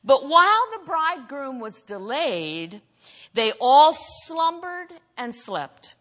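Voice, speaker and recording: A woman preaching a sermon in a church, with a naturally loud, earnest voice that she says hardly needs the microphone. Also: sits high, big pitch swings, takes it slowly. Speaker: guest preacher. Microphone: handheld or stand microphone. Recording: church hall reverb.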